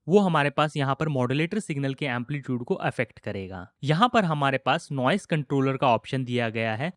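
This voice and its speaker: A man with an Indian accent giving a methodical step-by-step software tutorial as an instructor. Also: on the high side, dry recording, clean studio sound.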